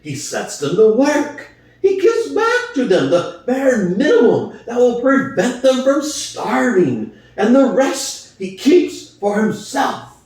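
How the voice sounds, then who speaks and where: A man's voice: very high-pitched, big pitch swings, takes it slowly, a little mellow, exaggerated voice. A man voicing Old Major, old boar orator. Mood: rousing. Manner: impassioned speechmaking, rhetorical questions, swelling emphasis. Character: old prize boar, wise, rousing revolutionary orator. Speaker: reader. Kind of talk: dramatic audiobook reading. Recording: very echoey room, far from mic.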